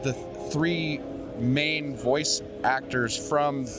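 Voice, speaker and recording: A man's voice: medium pitch, drawn-out words, music in the background. Eager young male interviewer. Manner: eager, agreeable, lots of yeahs. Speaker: interviewer host. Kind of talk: casual interview chat.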